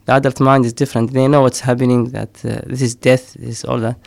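Man, middle-aged, gravelly, dry close sound, hesitant with fillers. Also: mid-range voice, level tone, fast talker, darkish tone, no echo. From Somaliland. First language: Somali. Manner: hesitant, many fillers, frequent laughs. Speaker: poet and storyteller. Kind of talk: casual interview talk.

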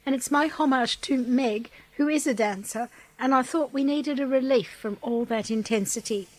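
A woman's voice: sits high, normal speed, poor audio. A woman presenting a radio show from prepared notes as its host.

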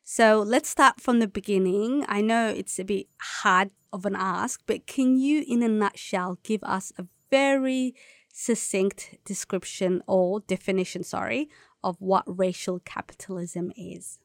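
A woman hosting a community radio current affairs show, presenting a scripted introduction and asking clear questions. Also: medium pitch, fairly even intonation, measured pace, no echo, studio quality. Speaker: radio host.

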